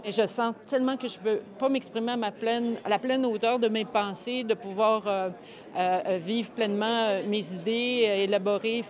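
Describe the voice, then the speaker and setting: A woman giving a hesitant, earnest personal testimony. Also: mid-range voice. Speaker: interviewee.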